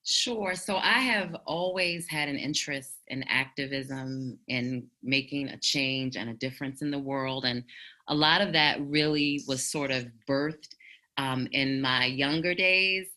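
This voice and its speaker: American woman guest talking reflectively, super low pitch but bright forceful tone. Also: a little slow. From United States. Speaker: guest, activist blogger. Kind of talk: reflective interview answers. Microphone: internet call.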